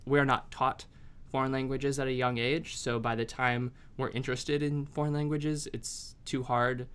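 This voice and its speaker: An American man hosting a podcast, chatting casually in a rambling, halting way with many restarts. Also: medium pitch, level tone, fairly bright tone, clean tone. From United States. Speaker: podcast host.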